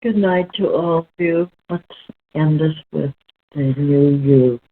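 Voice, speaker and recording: A woman reading spiritual text aloud as host, in a measured, deliberate way with halting phrasing. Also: deep voice. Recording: muffled, very narrow lo-fi sound.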